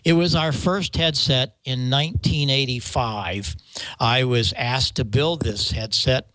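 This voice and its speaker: An older American man talks casually as a show host. His delivery rambles and halts, with stammered restarts as he explains equipment. The pitch is average for a man and the sound is dry, with little room echo. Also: a little slow, fairly bright tone. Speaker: show host and microphone maker. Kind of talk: casual show talk, explaining audio gear.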